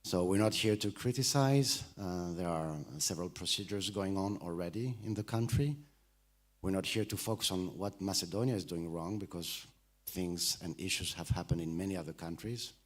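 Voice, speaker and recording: Low-voiced French man moderating a panel. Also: normal speed. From France. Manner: hesitant, many fillers. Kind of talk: panel discussion. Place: festival panel stage.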